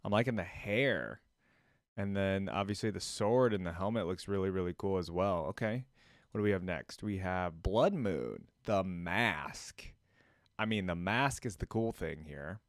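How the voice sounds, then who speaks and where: A man with a fairly low voice, recorded close and dry. He talks casually as a gaming reaction host, rambling and working out his thoughts aloud. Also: unhurried, dry recording.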